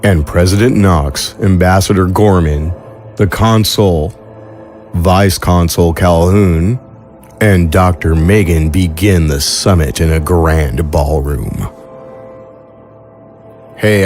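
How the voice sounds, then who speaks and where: A man's voice: sits low, a little mellow. A man hosting an audio drama show, giving a casual scripted outro in a folksy, informal way, speaking straight to his listeners.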